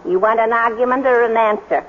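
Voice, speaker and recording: A actress playing a female maid in old radio drama style, folksy and blunt, full of deadpan suspicion. The sound is reverberant and dull, with faint noise. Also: a little high, fairly quick, lightly acted. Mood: sardonic. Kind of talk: 1940s-50s radio drama acting.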